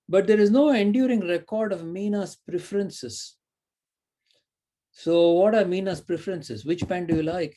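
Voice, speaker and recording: A middle-aged man lecturing in a mellow, warm voice that sits a little high for a man. He rambles and thinks aloud, with fillers and "right?" tags. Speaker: university lecturer. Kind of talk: recorded lecture.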